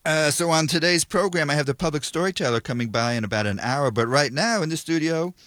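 A man's voice: a little high, animated, fast, fairly bright tone, no room sound. A man hosting a radio music show from the studio, introducing songs and interviewing a guest with dry humor and frequent hesitations.

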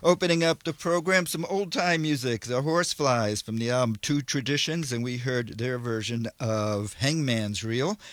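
A man's voice: medium pitch, normal speed, hardly any pauses, a bit bright, no room sound. A man hosting a folk music radio show, interviewing a guest in the studio in a friendly, curious way. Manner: friendly, curious, stumbles over foreign words. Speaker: radio host.

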